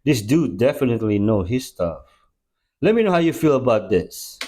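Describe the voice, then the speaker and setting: A man's voice: mid-range voice, sing-song, normal speed, dark tone. A man with an ordinary mid-range voice reacting to clips between them. His comments come out dry and sarcastic. He sounds like someone hosting a compilation.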